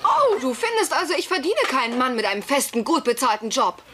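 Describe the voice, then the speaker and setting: An actress playing a pushy, indignant single sister in a German-dubbed sitcom, dramatic in manner. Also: female voice, high-pitched, sing-song, brisk. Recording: noisy TV sitcom soundtrack.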